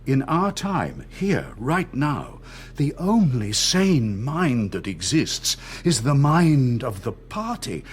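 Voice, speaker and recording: A middle-aged man acting a cold, controlled interrogator in a radio drama, measured, didactic and condescending. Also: medium pitch, big pitch swings, husky, exaggerated voice. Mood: emphatic, slow. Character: cold, controlled party interrogator and torturer, patronising and menacing.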